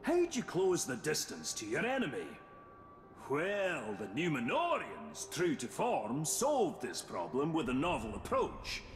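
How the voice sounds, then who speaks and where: An actor playing a roguish artifact expert in a video game, narrating in a wry, droll, theatrical way with grisly relish. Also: male voice, on the high side, wildly swooping pitch, measured pace, slight background noise. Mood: wry showmanship. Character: roguish, wry male artifact expert explaining ancient weapons. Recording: echoey hall with long reverb.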